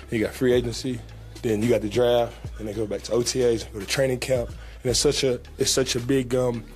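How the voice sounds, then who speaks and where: A young man speaks loosely into a table microphone in a team press room, circling back with fillers and repeated phrases. His voice sits at an ordinary male pitch, and a faint trace of music lies underneath. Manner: relaxed, casual, many fillers and repeats. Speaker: pro football player at a press conference. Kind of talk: press conference answers. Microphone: table mic in front.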